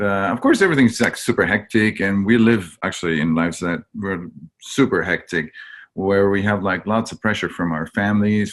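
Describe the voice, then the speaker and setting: A man's voice: sits low, fairly bright tone. A man from Argentina, a webinar guest telling his own story in a candid, rambling way with many fillers.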